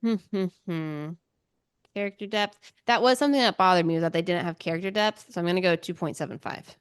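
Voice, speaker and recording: A woman's voice: lowish voice, fast, clean tone, no room sound, clean studio sound. A woman co-hosting a book podcast in casual chat, thinking aloud in an informal way.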